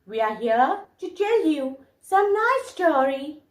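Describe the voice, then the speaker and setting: A woman hosting a children's puppet show, narrating in a deliberate, expressive way. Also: high voice, far from the mic. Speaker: storyteller and puppet show host. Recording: echoey room.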